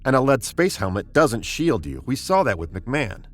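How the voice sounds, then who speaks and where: An actor playing a steady, authoritative, plain-spoken spaceship commander in a 1950s science fiction radio drama. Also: male voice, mid-range voice, moderate pace. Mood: explaining, serious. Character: steady, decisive male commander of a spaceship expedition.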